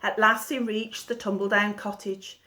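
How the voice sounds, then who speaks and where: A woman teacher reading a children's storybook aloud in an expressive storytelling manner. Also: medium pitch, normal speed.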